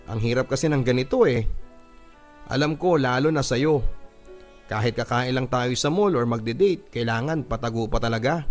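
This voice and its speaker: A man's voice: mid-range voice, lightly acted. Guy doing a lovestruck young filipino boyfriend, radio drama, pleading n devoted, music under it. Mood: frustrated, pleading.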